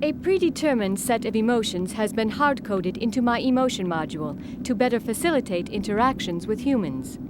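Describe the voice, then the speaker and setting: An actress playing a polite, literal female combat android in a video game, speaking in a measured, flat, formal way. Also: fairly high pitch, noisy background, close to an everyday voice. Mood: impassive, courteous.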